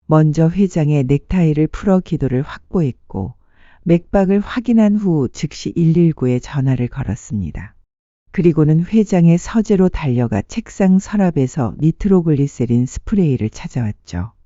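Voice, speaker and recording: Dark-toned, expressive story narrator. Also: medium pitch, normal speed, dry recording, lo-fi sound. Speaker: audiobook narrator. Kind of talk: scripted dramatic story narration.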